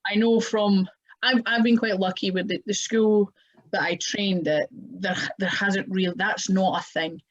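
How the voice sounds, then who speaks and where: A woman's voice: mid-range voice, fairly even intonation, a little slow, dull audio. A Scottish woman chatting casually as a podcast guest, rambling with fillers and restarts. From Scotland. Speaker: wrestler guest.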